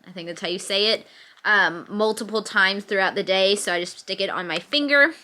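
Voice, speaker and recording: A woman's voice: mid-range voice, fairly quick, bright, forceful tone. A young woman, sick with a fever and a little congested, chatting to the camera from bed at home and laughing through her complaints. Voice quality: occasional cough, slightly stuffy nose. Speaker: vlogger. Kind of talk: casual chat to camera. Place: in bed at home, bundled in a sweatshirt.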